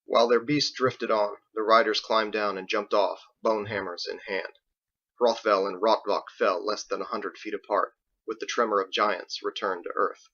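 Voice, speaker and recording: A man's voice: lowish voice, steady tone, moderate pace, fairly bright tone. A man reading his own fiction aloud as a scripted audiobook narration. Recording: dull lo-fi recording.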